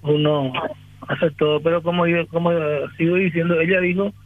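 Halting male caller on a tinny phone line. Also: fairly high pitch, fairly even intonation. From Carapeguá, Paraguay. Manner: halting, repeats himself while explaining. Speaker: radio caller. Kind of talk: explaining a recipe on a phone call.

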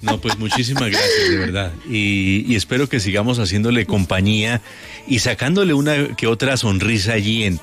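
Low-voiced Colombian radio host. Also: male voice, wildly swooping pitch, normal speed, a bit bright, faint music behind. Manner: hesitant, many fillers, playful. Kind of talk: late-night radio talk.

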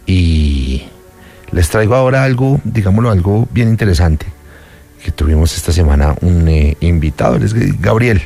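Low, gravelly male radio host. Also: lively intonation, normal speed, warm tone, faint music behind. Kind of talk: radio show introduction over music.

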